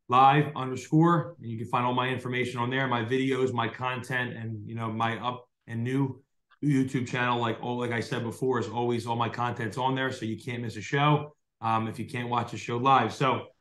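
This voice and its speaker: A man's voice: medium pitch, fairly bright tone. Young guy hosting a video call podcast, um and uh everywhere, roomy echo on a big desk mic. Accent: South Jersey. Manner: rambling, many fillers and restarts. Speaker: podcast host. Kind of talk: casual interview. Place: dim home room at night. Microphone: large desk mic on video call.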